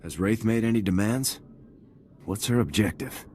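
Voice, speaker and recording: A man's voice: fairly low pitch, normal speed, darkish tone, slight background noise, lightly acted. An actor voicing a loyal, determined male lieutenant who speaks in clipped, direct sentences in a video game.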